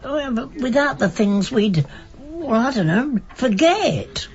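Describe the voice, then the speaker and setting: A woman's voice: high-pitched, big pitch swings, measured pace. An actress playing an elderly, sentimental wife in a radio drama, chatty, wistful and insistent about keeping her things. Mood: wistful, nostalgic. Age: older. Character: stubborn, sentimental old wife clinging to memories and belongings. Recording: dull, band-limited radio broadcast recording.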